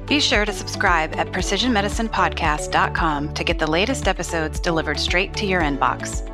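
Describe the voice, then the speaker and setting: A woman's voice: lowish voice, fairly quick. A woman reading a polished, scripted introduction for a podcast. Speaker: show announcer. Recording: voice over music. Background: clear music bed.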